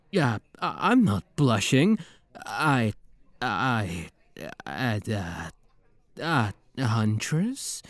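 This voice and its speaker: A man's voice: medium pitch, no room sound, full character voice. A man playing a playful, flirty demon prince with a teasing, theatrical manner in an intimate ASMR roleplay. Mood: flustered, flirty.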